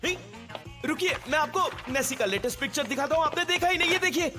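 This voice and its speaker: High, fast-talking nerdy teenage boy. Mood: excited. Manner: earnest, insistent, rambling. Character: nerdy, eager teenage boy obsessed with aliens. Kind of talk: Hindi anime dub acting. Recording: music behind the voice.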